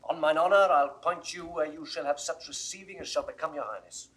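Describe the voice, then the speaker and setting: An actor playing a loyal, earnest lord counselling a prince, speaking in measured, persuasive, declamatory classical stage style. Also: male voice, on the high side, fairly bright tone, lightly acted. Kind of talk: classical Shakespearean stage acting, 1960s audio recording.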